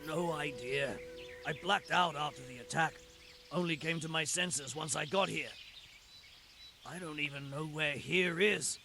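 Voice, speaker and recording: A man's voice: on the high side, measured pace, gravelly, music in the background. A man voicing an anxious, bewildered wounded hired guard held captive in a video game. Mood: weak, wary. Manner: anxious, questioning.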